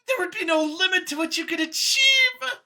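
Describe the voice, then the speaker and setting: A woman's voice: very high-pitched, animated, moderate pace, sharp tone, voice pushed up, heavily acted. A woman voicing a nervous, boastful female alien scientist from an audio story, whiny and gushing as she justifies her elitist views. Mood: nervous, eager. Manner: gushing, over-eager justifying.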